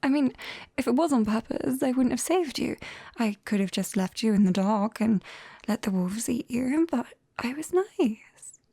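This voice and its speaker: A British woman playing a clumsy, caring young witch in an ASMR roleplay, whispering softly and hesitantly, close to the mic. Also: fairly high pitch, animated, normal speed, no room sound, exaggerated voice. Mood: defensive, sheepish. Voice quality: soft, breathy whisper. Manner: hesitant, coaxing, gently apologetic. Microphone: close binaural microphone.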